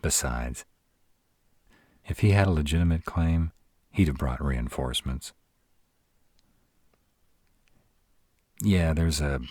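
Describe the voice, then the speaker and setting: Man acting a very deep, husky were-dragon. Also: a little mellow, dry recording, full character voice. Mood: serious, wary. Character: gruff, protective male were-dragon, caring, dryly sardonic. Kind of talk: roleplay audio drama, intimate one-sided conversation.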